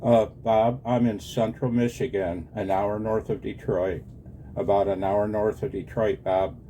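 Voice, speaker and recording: A man in his sixties with an American accent and a low, mellow voice. He talks like a ham radio operator in a casual two-way exchange, earnest and very polite to the other party. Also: fairly even intonation, measured pace, a little hiss or hum. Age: 60s. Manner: earnest and deferential, often saying sir.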